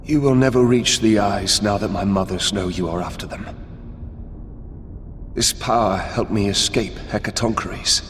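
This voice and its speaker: An actor playing a grave, weary fugitive in a video game, speaking solemnly and fatalistically. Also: male voice, moderate pace, lightly acted. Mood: grave, resigned. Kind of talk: video game dramatic acting. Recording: long cavernous reverb, faint rumbling noise.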